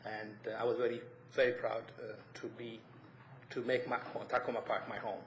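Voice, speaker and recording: A man from Ethiopia with an Ethiopian accent, giving an earnest, halting personal statement at a public meeting. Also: medium pitch, little pitch movement. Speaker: resident applying for committee. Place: indoor council meeting room. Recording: echoey meeting room, faint noise.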